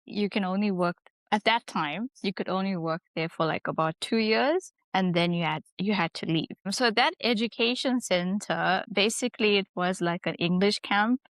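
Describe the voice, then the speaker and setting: A young South African woman speaking in a casual interview, chatty and relaxed, leaning on fillers as she talks. Her voice sits at a middle pitch and the sound is dry and close, with no room echo. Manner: chatty, laughs easily, many "like" fillers. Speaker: guest, English teacher.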